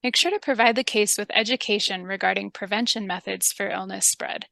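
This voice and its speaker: Woman, professional health interviewer, calm and methodical, bright voice, slight vocal fry. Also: mid-range voice, a little fast, no room sound. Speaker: public health interviewer and narrator. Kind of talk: scripted training demonstration.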